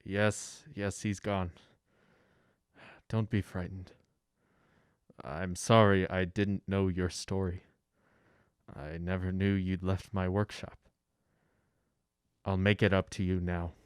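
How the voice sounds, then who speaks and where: A man playing calm, commanding mayor. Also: low-pitched, takes it slowly, pauses often, clean tone, dry recording, clean studio sound. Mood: gentle. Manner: calm, firm, compassionate. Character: kind, authoritative male town mayor. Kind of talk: radio drama acting.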